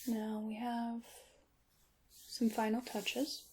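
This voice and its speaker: Slow, whispery ASMR woman with creaky softness. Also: on the high side, flat delivery. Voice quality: soft and breathy, often dropping into a whisper. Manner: slow, deliberate, addressing the listener gently. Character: calm witch apothecary mixing potions. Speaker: ASMR performer playing a witch character. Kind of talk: whispered, soft-spoken ASMR roleplay.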